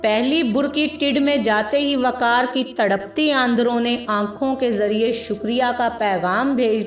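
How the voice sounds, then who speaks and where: A woman's voice: fairly high pitch, reverberant. A woman narrating a scripted story in a dramatic storytelling style.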